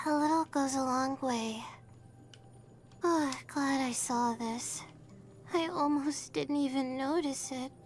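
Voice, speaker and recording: A woman voicing a sleepy, soft-spoken young female adventurer in a game. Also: sits high, takes it slowly, soft background music. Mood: sleepy wonder.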